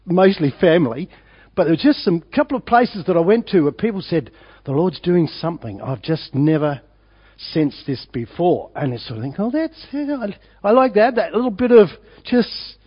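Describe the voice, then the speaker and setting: An older Australian man, hoarse from a winter cold, talking as a pastor in a rambling, halting way with many fillers before his sermon. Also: a little high. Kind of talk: informal sermon preamble. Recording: dull lo-fi church recording.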